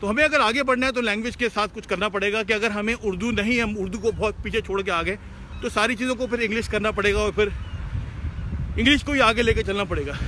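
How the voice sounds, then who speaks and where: Bright high-voiced Pakistani man talking outdoors. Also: a little hiss or hum. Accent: Pakistani Urdu. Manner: earnest, lecturing, sprinkled with English words. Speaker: host answering viewer questions. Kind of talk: casual live-stream talk. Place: outdoors, driving past shops.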